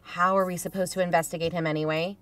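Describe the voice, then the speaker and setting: A woman's voice: on the low side, level tone, fast, fairly bright tone, soft background music, natural voice. A woman playing a pragmatic investigator in an audio fiction podcast, speaking in a matter-of-fact, reasoning way. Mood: weary, insistent. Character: pragmatic, level-headed female investigator.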